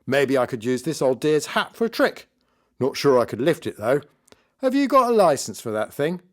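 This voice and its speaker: A man's voice: mid-range voice, sing-song, moderate pace, voice pushed up, lightly acted. A man voicing a nasty, blustering party magician with sneering showman patter in a children's storybook. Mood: mocking. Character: nasty loud male children's party magician, mocking and cowardly.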